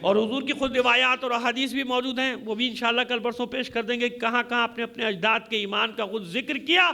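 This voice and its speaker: A man's voice: very high-pitched, steady tone, fairly quick, vocal fry, pushed and bright. A man preaching an impassioned majlis sermon in a combative, declamatory way, using rhetorical questions and emphatic repetition. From Pakistan.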